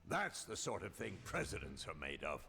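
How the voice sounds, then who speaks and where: An actor playing a cynical, persuasive American political schemer in a game cutscene, with an American accent and measured speechmaking. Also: male voice, low-pitched, huge pitch swings, creaky, pushed and bright, soft background music, full character voice. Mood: persuasive, rousing. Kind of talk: dramatic game cutscene acting.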